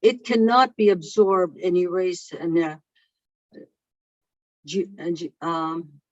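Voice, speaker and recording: A keynote speaker for whom English is a second language, reading a prepared speech in a slow, deliberate way over a video call. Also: medium pitch, dry recording. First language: not English. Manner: slow, measured and deliberate delivery. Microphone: video call microphone. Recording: dull lo-fi online call audio.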